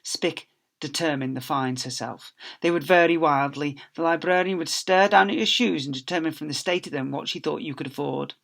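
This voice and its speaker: A man's voice: a little high, moderate pace, gravelly, fairly bright tone. A man, a teacher reading aloud, tells a children's story expressively, with theatrical emphasis and phrases that drop away at the end.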